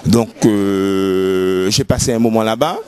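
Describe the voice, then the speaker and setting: A man from Haiti giving a street interview, speaking haltingly and repeating words and phrases often. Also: fairly low pitch, darkish tone.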